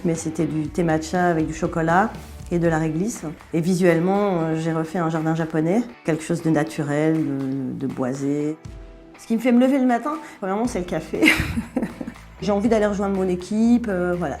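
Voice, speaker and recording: A woman's voice: lowish voice, a little mellow. A middle-aged woman chef from France with a Belgian French accent, answering interview questions in an easygoing way with many hesitations.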